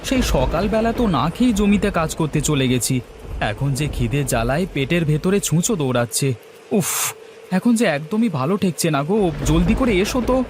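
A man's voice: fairly high pitch, animated, moderate pace, background noise, natural voice. A man playing an exasperated, hungry village farmer husband who complains dramatically in a comedy cartoon. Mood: hungry, impatient. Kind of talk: Bengali comedy cartoon dialogue.

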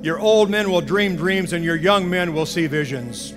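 A man's voice: high voice, normal speed. A middle-aged man giving a formal speech from a conference podium. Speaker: conference leader speaking from podium. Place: large conference hall stage at a podium. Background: music.

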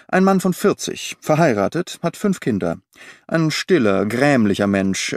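A man reading the part of a well-informed government official giving a measured briefing in an audiobook. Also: medium pitch, no room sound, studio quality.

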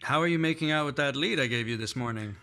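An actor playing a nervous, scheming newspaperman in a 1950s-style radio mystery, smooth on the surface but stumbling over his words. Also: mid-range voice, fast, sharp tone, no echo, studio quality. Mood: guarded. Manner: smooth but hesitant, stammering "I, I". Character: nervous, scheming middle-aged male newspaperman. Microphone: headset.

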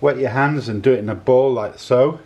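A man in his sixties giving a casual, unhurried cooking demonstration in a home kitchen, often repeating his phrases as he goes. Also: medium pitch, darkish tone, slightly rough, muffled recording. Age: 60s. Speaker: home cook, presenter. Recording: clattering dishes, clicks, kitchen noise.

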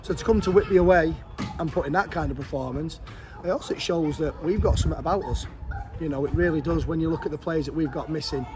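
A middle-aged man, a football manager giving a frank, chatty post-match interview outdoors beside the pitch. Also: music in the background. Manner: frank, chatty, fast-flowing. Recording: outdoor wind and traffic noise.

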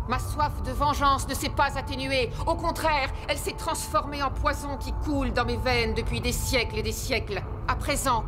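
Woman acting a haughty vengeful dark queen over music. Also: high voice, natural voice. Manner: haughty, commanding, theatrical. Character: vengeful, imperious dark queen of shadowy limbo. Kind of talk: French cartoon dubbing.

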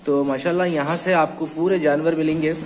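Hyderabadi male host, talkative farm-tour interviewer, slightly high pitch, faint background noise. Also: steady tone, a little fast. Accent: Hyderabadi Hindi-Urdu. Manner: chatty, repeats answers back. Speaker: host of a goat channel. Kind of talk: casual on-site interview.

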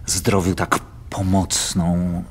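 An actor playing a sly, insinuating gentleman in a verse radio play, with a mannered delivery. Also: low-pitched, completely flat delivery, slow, slightly rough, heavily acted. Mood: sly, mocking. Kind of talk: theatrical radio drama in verse.

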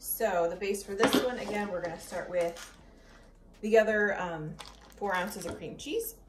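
A young woman talks her viewers through a recipe in an easygoing, chatty way, tasting as she goes. Her voice sits a bit back from the camera in an echoey home kitchen, with clear background noise. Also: mid-range voice, a little slow, far from the mic. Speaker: cooking vlogger. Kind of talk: casual cooking demo.

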